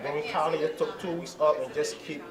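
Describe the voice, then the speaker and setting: A man's voice: fairly high pitch, flat delivery, a little fast. Liberian-born young man, crowd all around. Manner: earnest, repeats himself, grows emphatic. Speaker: bodybuilding champion. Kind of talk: post-show interview. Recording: loud crowd at a contest venue.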